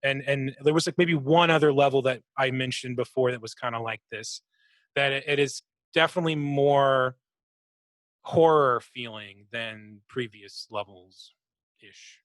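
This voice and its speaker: A man with a medium-pitched voice gives casual, analytical gaming talk as a co-host. His delivery is halting as he searches for words, and the sound is close and dry. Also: a bit bright, no room sound, poor audio. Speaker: podcast co-host. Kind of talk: casual analytical chat about a video game.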